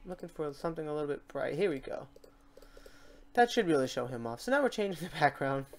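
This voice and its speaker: A software tutorial host with a voice of middling pitch. The delivery is casual and unscripted, explaining each step while working and thinking aloud along the way. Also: big pitch swings, fast talker, a little hiss or hum.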